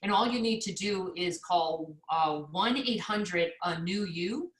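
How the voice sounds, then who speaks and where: A woman's voice: on the low side, measured pace. A woman hosting a podcast interview, encouraging and enthusiastic toward her guest. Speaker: podcast host. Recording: echoey room.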